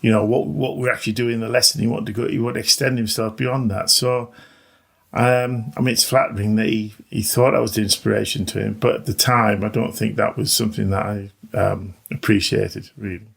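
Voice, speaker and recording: Gravelly middle-aged man, modest manner. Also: on the low side, little pitch movement, a little fast, a bit bright. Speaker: interview guest, maths teacher. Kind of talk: casual conversation.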